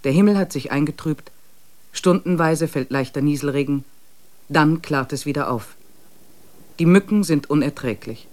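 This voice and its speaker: A man's voice: on the high side, darkish tone. Man narrating expedition diary. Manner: measured, matter-of-fact. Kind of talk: scripted diary narration in a radio drama.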